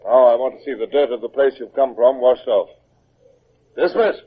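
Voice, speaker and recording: An actor playing a stern but humane British naval captain in an old-time radio drama, with a commanding, authoritative manner. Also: male voice, mid-range voice, normal speed, husky, lightly acted. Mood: commanding, firm. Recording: muffled, narrow old radio recording.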